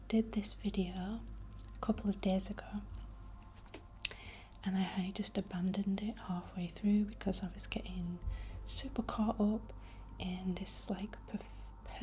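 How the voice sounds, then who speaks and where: A young woman whispering breathily and gently as she guides an ASMR meditation in an informal, unhurried way. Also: medium pitch, slight background noise. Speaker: ASMR host guiding a healing session.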